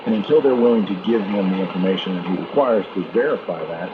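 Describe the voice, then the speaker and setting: A man's voice: deep voice, fast talker. A man hosting a radio show, giving news commentary in a conversational, opinionated way, heard over shortwave radio. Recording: noisy, muffled shortwave radio reception with fading. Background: loud radio static and interference.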